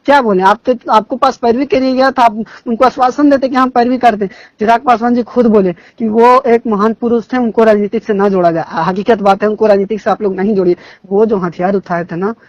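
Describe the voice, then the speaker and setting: A young man with a Bihari accent speaks in a notably high voice. He is impassioned and indignant, repeating phrases for emphasis in informal political talk. The sound is a dry, slightly muffled phone call recording. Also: fairly even intonation, a little fast, few pauses, dry recording. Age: young adult. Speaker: phone caller discussing local politics.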